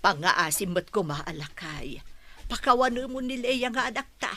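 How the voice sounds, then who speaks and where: A woman's voice: mid-range voice, animated, normal speed, fairly bright tone. A woman playing a protective, forgiving middle-aged mother in an audio drama, earnest and emphatic, with an Ilocano Filipino accent. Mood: pleading. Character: Leia's protective, forgiving older mother, pleading and firm. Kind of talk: amateur audio drama acting.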